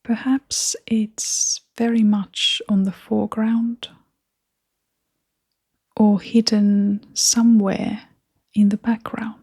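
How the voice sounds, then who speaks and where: A woman leading a guided meditation in a gentle, breathy, whispery and creaky voice, speaking in short phrases with long, unhurried pauses. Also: medium pitch, flat delivery, no echo, clean studio sound.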